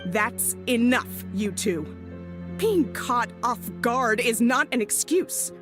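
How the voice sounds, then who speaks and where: A strict young tournament staffer from a video game, speaks in a high, animated voice. She is formal and firm, with faint music behind her. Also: slow pace. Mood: stern, scolding.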